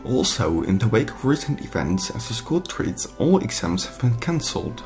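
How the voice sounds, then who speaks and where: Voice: mid-range voice, sing-song, normal speed, creaky, darkish tone. An actor playing a kindly, dignified old male headmaster in an audio play, making formal announcements over faint music. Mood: ceremonious.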